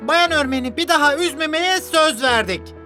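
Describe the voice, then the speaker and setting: A woman's voice: high-pitched, animated, moderate pace, sharp tone, faint music behind. A woman voicing an earnest, helpful young car in a children's cartoon dub, sincere and keen. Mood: firm, careful.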